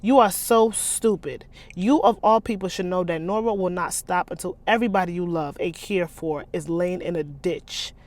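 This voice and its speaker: A woman's voice: on the low side, moderate pace, few pauses, a little mellow, lightly acted. Woman reading as a scornful American crime boss woman. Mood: exasperated. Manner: scornful, bossy, blunt. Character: fearless, sharp-tongued woman running a criminal operation.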